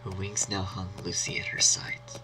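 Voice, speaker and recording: A man narrating a scripted dark fan story in a dramatic storytelling style. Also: deep voice, monotone, moderate pace, low-quality recording. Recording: faint music behind.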